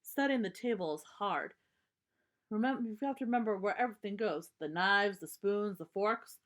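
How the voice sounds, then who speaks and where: A woman's voice: mid-range voice, normal speed, natural voice. A woman voicing a young boy bear cub from a storybook, wheedling and emphatic. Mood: protesting.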